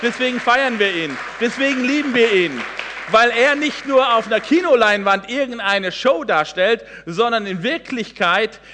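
A man's voice: very high voice, animated, normal speed, sharp tone, big-room sound, a faint crowd, a little hiss or hum. A man in his forties, from Hesse, giving a sermon as a guest preacher, earnest and emphatic, with frequent fillers and restarts. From Hesse, Germany. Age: 40s.

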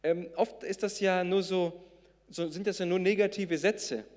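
A slightly high-voiced man preaches in German to his congregation. Portuguese is his first language. He talks in a loose, chatty way, full of fillers and restarts, and swells into emphatic bursts. The voice rings with the long reverb of a church hall. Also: fairly even intonation, unhurried, darkish tone. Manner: conversational, many fillers and restarts, rising to emphatic. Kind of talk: sermon with a personal story.

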